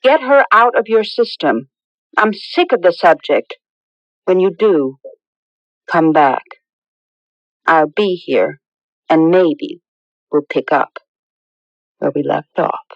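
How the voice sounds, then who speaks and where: An actress playing a sharp-tongued, jealous young fiancée in a radio drama. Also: female voice, fairly low pitch, animated, slow, long pauses, dry recording, exaggerated voice. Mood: exasperated. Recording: dull, dry vintage radio recording.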